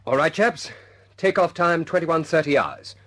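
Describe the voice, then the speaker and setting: An actor playing a composed bomber squadron leader in a wartime radio drama, clipped and military in manner, with a slightly husky voice. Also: male voice, on the high side, animated, unhurried, a bit bright. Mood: businesslike briefing. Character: brisk British bomber squadron leader, adult man, composed.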